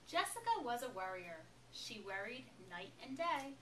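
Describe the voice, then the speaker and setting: A woman reading a children's picture book aloud with expressive, emphatic storytelling phrasing. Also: fairly high pitch, unhurried. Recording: echoey, noisy room, distant microphone.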